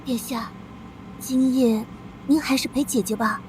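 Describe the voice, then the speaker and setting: An actress playing a coy, scheming young royal consort who speaks sweetly and coaxingly. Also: female voice, high-pitched, fairly even intonation, measured pace, darkish tone, low-quality recording. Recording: background hiss.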